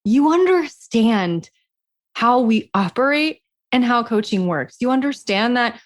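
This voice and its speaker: A woman's voice: a little high, lively intonation, measured pace. A woman co-hosting a podcast as a coach, chatting casually in a frank, emphatic way. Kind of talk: casual chat between co-hosts.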